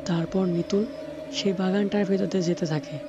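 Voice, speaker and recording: A man's voice: high voice, fairly even intonation, a little fast. A man narrating a cartoon folk tale in a scripted storytelling style. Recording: dull lo-fi audio under clear music.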